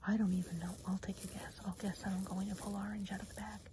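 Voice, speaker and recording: A woman playing a friendly, chatty Christmas witch of the woods in a gentle, breathy ASMR whisper. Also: mid-range voice, level tone, noisy background, lightly acted. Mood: playful. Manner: gentle, unhurried, chatty and hesitant. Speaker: ASMR role-play host. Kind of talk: whispered ASMR role play.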